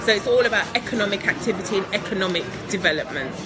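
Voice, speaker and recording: A woman's voice: mid-range voice, racing, music in the background. A woman from England, answering interview questions in a chatty, upbeat way, laughing often and filling with ums. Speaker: guest entrepreneur.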